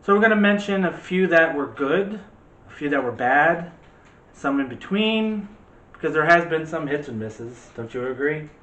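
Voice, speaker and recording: A man's voice: a little high, animated, moderate pace, a bit bright, lo-fi sound. A man hosting a movie discussion show in a casual, chatty and opinionated way. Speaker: host of a movie review show. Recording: echoey room.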